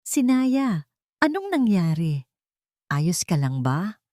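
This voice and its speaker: Woman narrator as kindly female doctor in romance drama: Filipino accent, gentle, reassuring delivery. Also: lowish voice, very animated, slow pace, no echo, clean studio sound. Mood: concerned.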